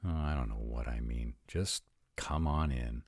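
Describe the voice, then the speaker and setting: A man playing an eager, awkward male dragon welcoming people in a fantasy audio roleplay, with excitable, informal phrasing. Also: extremely low pitch, measured pace, breathy edge, no echo, studio quality, pitched below the performer's own voice, full character voice. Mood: flustered, welcoming.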